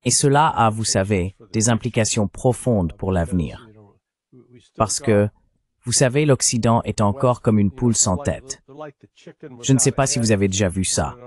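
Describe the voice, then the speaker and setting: A man with a fairly low voice delivers political commentary as a translated voice-over. He explains things in a measured way, point by point. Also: a little mellow. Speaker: panel commentator.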